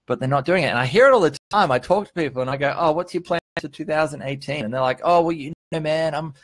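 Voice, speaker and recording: A man's voice: fairly high pitch, fast, no room sound. A man in his early thirties chatting casually and earnestly on a livestream, speaking informally with some swearing and emphatic points. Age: early 30s. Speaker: vlogger hosting a live stream. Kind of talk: casual motivational livestream chat, answering viewers.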